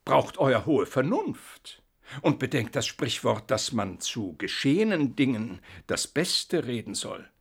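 Slow, husky, sermonizing priest. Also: male voice, medium pitch, big pitch swings, heavily acted. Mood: pleading, soothing. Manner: unctuous, sermonizing, archaic speech. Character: conciliatory, measured village priest, pleading for reason. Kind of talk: classic German radio play acting.

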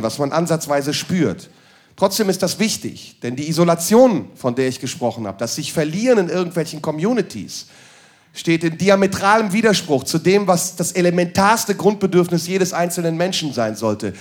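A man doing a crude, sardonic stand-up monologue that moves into ranting. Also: fairly high pitch. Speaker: stand-up comedian.

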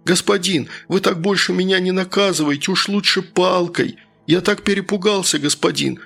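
Мужчина играет болтливого перепуганного работягу. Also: male voice, high-pitched, moderate pace, vocal fry, a bit bright, husky, soft background music, pitched above the performer's own voice, exaggerated voice. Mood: relieved, pleading. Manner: babbling, pleading. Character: frightened, chatty, servile workman pleading with his master. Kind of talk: audiobook character voice.

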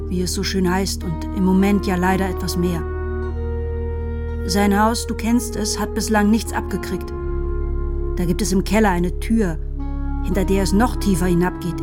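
Eine Schauspielerin als Mutter mittleren Alters im Hörspiel, die ihrem Sohn im Krieg schlicht und sachlich plaudernd einen Brief schreibt. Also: female voice, mid-range voice, lightly acted. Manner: plain, chatty, matter-of-fact. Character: middle-aged wartime mother reading her letter to her son. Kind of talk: German radio drama acting. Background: music.

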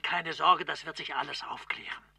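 A formal urgent boss in a radio play, scratchy tense voice, really dry close sound. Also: male voice, on the high side, fairly even intonation, normal speed, vocal fry, bright, forceful tone, slightly rough, no room sound, exaggerated voice. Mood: reassuring, pressing.

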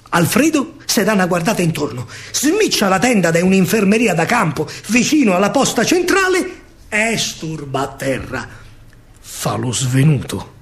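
A man with a Roman accent, a stage actor telling a story in dramatic, rhythmic dialect verse. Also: on the high side. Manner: theatrical, rhythmic delivery.

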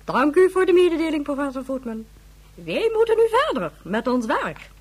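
A woman's voice: high-pitched, very animated, normal speed, darkish tone. An actress playing an imperious, impatient female Excellency in a science fiction radio drama, haughty, formal and dismissive. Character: imperious, impatient woman presiding as Her Excellency.

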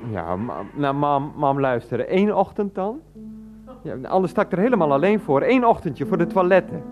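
A man's voice: a little high, big pitch swings, moderate pace, a little mellow, soft background music. An actor in a Dutch radio play as a grown son pleading and stammering on the phone to his mother. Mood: desperate. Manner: stammering, coaxing, often interrupted. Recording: dull, band-limited radio recording.

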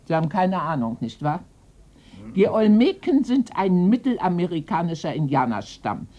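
Casting: scornful, eccentric avenger woman for radio mystery; husky, unhurried, widely swinging delivery. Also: lowish voice, sing-song, dull audio. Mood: haughty, scornful. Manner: theatrical, scornful, drawn-out phrasing. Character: vengeful, mocking, eccentric woman back from South America. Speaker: actress in a radio crime play. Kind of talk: 1990s German radio drama acting.

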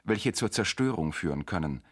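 An actor playing a sober male reader, narrating formally in a measured, factual manner for a radio drama. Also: low-pitched, sing-song.

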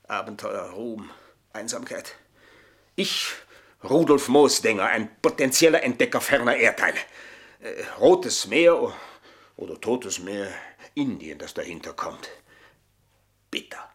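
An older actor playing an embittered, boastful manservant in a German radio play, speaking in a florid, mocking, theatrical way. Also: male voice, on the low side, animated, unhurried, pauses often, fairly bright tone. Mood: bitter, confiding. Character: aging, embittered, boastful manservant taunting his mistresses.

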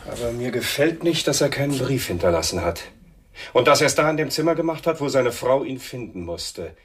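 An actor playing a suspicious, authoritative police inspector with a businesslike, questioning manner in a German radio crime drama. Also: male voice, medium pitch, very animated, brisk, slight background noise, close to an everyday voice.

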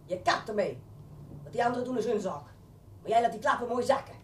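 A woman playing a small, bossy, fiercely protective crook's girlfriend in a radio drama, blunt and full of rough street talk. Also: a little high, animated, fast talker, recorded from a distance, close to an everyday voice. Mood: fierce, scolding. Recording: echoey room off mic, faint noise.

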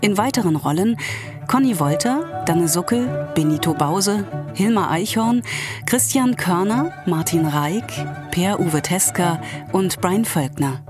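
Measured woman announcer, slightly low voice. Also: animated, over music. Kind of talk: scripted radio credits announcement.

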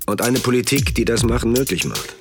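A man acting an earnest politician in a parody campaign advert, delivering slogans haltingly. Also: medium pitch, lively intonation, over music, lightly acted. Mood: solemn.

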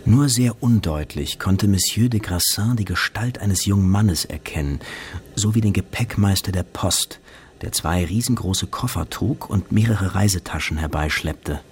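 An actor playing a composed narrator, reading scripted literary narration in a radio drama. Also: male voice, sits low, normal speed, a little hiss or hum, close to an everyday voice.

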